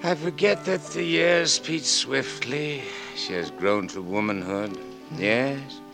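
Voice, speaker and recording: An actor playing a dedicated French scientist in a measured, theatrical 1940s radio drama style that can swell to urgency. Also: male voice, mid-range voice, creaky. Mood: reflective. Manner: measured, deliberate, bursting into urgent shouts. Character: impassioned, dedicated French male scientist, no longer young. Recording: vintage 1940s radio broadcast with music.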